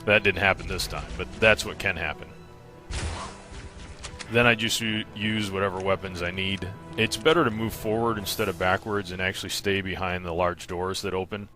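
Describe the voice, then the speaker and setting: A man's voice: sits low, fairly even intonation, normal speed. A man narrating a video game walkthrough, explaining casually and matter-of-factly, step by step. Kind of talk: casual instructional game commentary. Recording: game music behind the voice. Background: game music.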